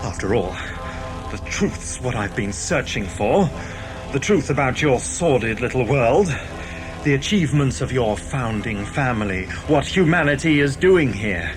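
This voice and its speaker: Guy playing a brainy defiant time traveller in a sci-fi audio play, lively swooping delivery, loud music behind him. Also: medium pitch, a little slow, lightly acted. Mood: defiant, scornful. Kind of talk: audio science fiction drama acting.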